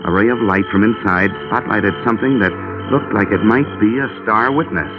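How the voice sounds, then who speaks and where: Low-voiced hardboiled radio detective played by a man. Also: a little fast, over music, natural voice. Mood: grim, wry narration. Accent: American. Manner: clipped, wry, deadpan. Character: hardboiled wry male insurance investigator, cool and world-weary. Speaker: lead actor and first-person narrator. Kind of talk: 1940s radio detective acting and narration. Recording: muffled vintage 1940s radio broadcast.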